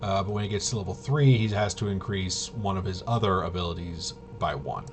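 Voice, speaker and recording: Male tabletop gaming host, lowish pitch, halting off-the-cuff explainer delivery, noticeably noisy room tone. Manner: halting, rambling, thinking aloud. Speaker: host of a tabletop RPG channel. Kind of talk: casual unscripted rules walkthrough.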